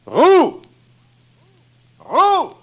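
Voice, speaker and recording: A man's voice: very high-pitched, wildly swooping pitch, extremely slow, fairly bright tone, dull audio, exaggerated voice. A male actor in a 1940s radio drama playing a grave, urgent scientist with an emphatic manner. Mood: alarmed.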